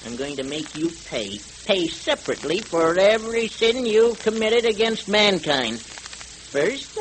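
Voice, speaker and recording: Hooded vigilante, menacing, righteous, high swooping delivery, old-time radio drama, faint hiss and crackle. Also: male voice, a bit bright. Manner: theatrical, deliberate, threatening declamation. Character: menacing hooded masked avenger, grimly righteous male vigilante. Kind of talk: old-time radio adventure serial acting.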